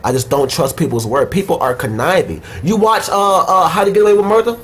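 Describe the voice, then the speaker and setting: A man's voice: on the high side, fairly quick, fairly bright tone. Blunt, swearing young man with a head cold. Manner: blunt, opinionated, swears freely. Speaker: podcast host. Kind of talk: casual uncensored chat.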